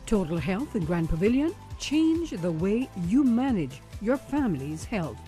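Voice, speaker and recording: Woman doing a radio ad, warm mellow tone, lively pitch, soft music under. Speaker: ad announcer. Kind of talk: scripted radio ad.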